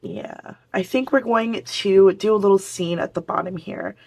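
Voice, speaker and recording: A woman with a hoarse voice from a lingering cold, chatting casually to viewers as she decorates a planner. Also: mid-range voice, normal speed, a little mellow. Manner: chatty, talks to viewers while working. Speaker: planner vlogger. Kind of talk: casual crafting chat.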